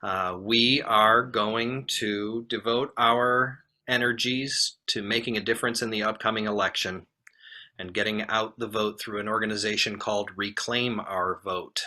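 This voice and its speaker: A man's voice: lowish voice, fairly even intonation, a little slow, sharp tone. A man making informal announcements to a congregation as its minister and host.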